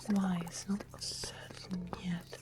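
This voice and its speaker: A woman's voice: fairly low pitch, little pitch movement, slow. A woman playing a sweetly sinister witch shopkeeper in a fantasy ASMR roleplay, whispery, genteel and mysterious. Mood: ominous, mystical. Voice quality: whispery, breathy.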